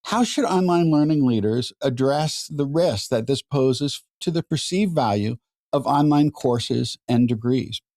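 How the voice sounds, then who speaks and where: Dark-voiced man hosting a podcast. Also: medium pitch, measured pace, no echo, clean studio sound. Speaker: podcast host and moderator. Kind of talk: conversational interview moderating.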